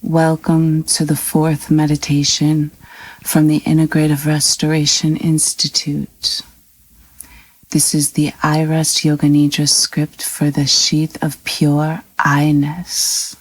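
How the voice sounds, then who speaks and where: A woman reading a scripted guided meditation in a calm, soothing, unhurried manner with long pauses. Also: low-pitched, no echo, low-quality recording.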